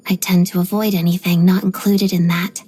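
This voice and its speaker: An actress voicing a reserved, disciplined young ballerina in a video game, speaking softly in a measured, guarded way with quiet pride. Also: female voice, fairly low pitch, level tone. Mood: calm, musing. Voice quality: soft, breathy. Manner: measured, composed, polite but guarded. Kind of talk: video game character voice lines.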